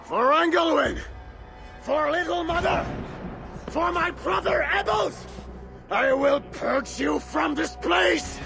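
An actor playing a zealous warrior bishop who speaks slowly and shouts his threats. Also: very high-pitched, music in the background, exaggerated voice. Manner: slow, gravelly, shouting. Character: zealous warrior bishop, older man, fanatic. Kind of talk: video game cutscene acting.

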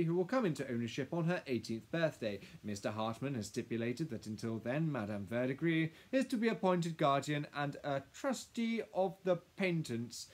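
Audiobook lawyer played by a man: officious, anxious, deferential, halting delivery at a raised pitch. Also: a little mellow. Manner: stammering, hesitant, officious. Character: nervous, deferential, fussy male lawyer.

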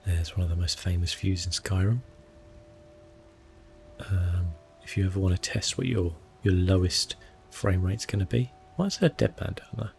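A man giving soft-spoken, relaxed ASMR commentary over a video game, murmuring and often dropping into whispers. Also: low voice, normal speed, gravelly, faint music behind. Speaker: gaming video host.

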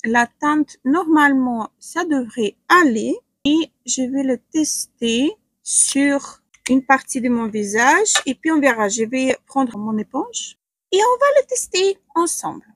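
A Ukrainian-accented woman in her forties talks her viewers through a makeup test at home, pausing with fillers as she works. Her voice sits high and a little breathy. The sound is dry and close, with little room echo. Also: lively intonation. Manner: chatty, hesitant with many fillers while applying makeup. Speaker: beauty vlogger. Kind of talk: casual makeup tutorial chat. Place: small room at home, indoors.

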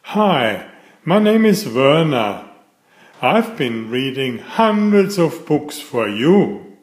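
A middle-aged man reading aloud to young children in a simple storytelling style, with clearly phrased delivery. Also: on the high side, big pitch swings, takes it slowly. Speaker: children's book reader. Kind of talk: read-aloud bedtime storytelling. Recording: roomy, echoey voice recording.

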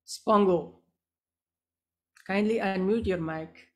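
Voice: mid-range voice, takes it slowly, darkish tone. A host running a live online poetry gathering, speaking politely and hesitantly and often repeating words and phrases. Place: online video call.

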